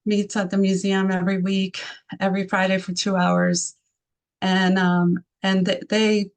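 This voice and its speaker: A woman with an Argentine accent, whose first language is Spanish, speaks in an even, level tone with a gravelly edge. She answers interview questions in a thoughtful way, pausing often and restarting her sentences. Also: mid-range voice. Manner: thoughtful, many fillers and restarts. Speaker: arts program founder.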